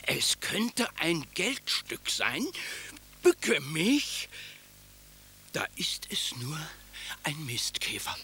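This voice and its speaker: A poor, humble street sweeper in a radio play, high and husky, speaking very slowly with a lively lilt. He stammers and answers dutifully. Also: exaggerated voice. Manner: dutiful, stammering, says jawohl.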